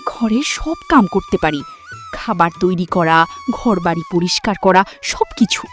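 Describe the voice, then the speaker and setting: A woman acts out a grateful, industrious cartoon villager with a Bangladeshi accent. Her medium-pitched voice is eager and respectful, rising and falling sharply over faint music. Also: wildly swooping pitch, unhurried. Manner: deferential, eager. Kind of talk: cartoon voice acting.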